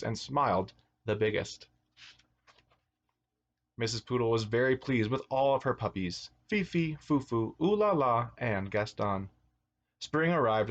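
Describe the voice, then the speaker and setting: A man's voice: mid-range voice, highs cut off. A man reading a children's storybook aloud as a teacher, expressively, with asides to his young listeners. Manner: expressive, acts out words and exclamations.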